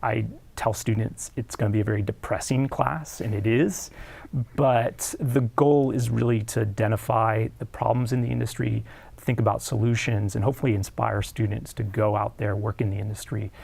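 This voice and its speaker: Male academic guest, 30s, low gravelly voice, hesitant with fillers. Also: steady tone, moderate pace, a little mellow, dry recording. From United States. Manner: hesitant, many fillers and restarts. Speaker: film studies professor. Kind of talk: academic interview.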